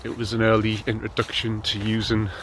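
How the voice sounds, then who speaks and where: A man's voice: fairly low pitch, brisk, a little hiss or hum, low-quality recording. A man in his thirties from northeast England, chatting casually and a bit ramblingly while out on a snowy morning run. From North East England. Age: 30s. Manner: relaxed, rambling, lots of ums. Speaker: running vlogger. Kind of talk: casual chat while jogging. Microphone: handheld camera while running.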